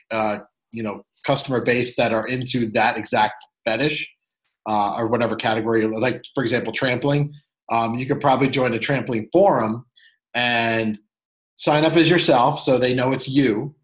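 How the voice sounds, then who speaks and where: Male podcast host, rambling advice-giver, halting delivery, average pitch. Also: poor audio. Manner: rambling, halting, full of fillers. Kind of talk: casual advice chat.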